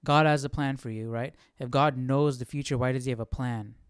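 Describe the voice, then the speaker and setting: A man hosts a study stream in a casual, questioning way. His voice is clean and smooth at an ordinary male pitch. The sound is dry, with no room echo, as he thinks aloud. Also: fairly even intonation, brisk. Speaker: livestream Bible study host. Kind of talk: casual, musing chat.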